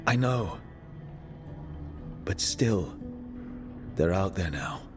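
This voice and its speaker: An actor playing an earnest, steady mage who leads a team in a fantasy video game, speaking in a measured, serious way. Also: male voice, low voice, music in the background, exaggerated voice. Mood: grave. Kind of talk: cinematic video game dialogue.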